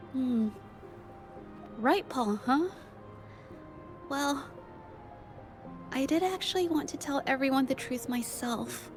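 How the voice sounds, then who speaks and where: An actress voicing a shy young female painter in a game, earnest and stammering, with faint music underneath. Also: high-pitched. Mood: playful. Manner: stammering, hesitant. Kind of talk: game voice acting.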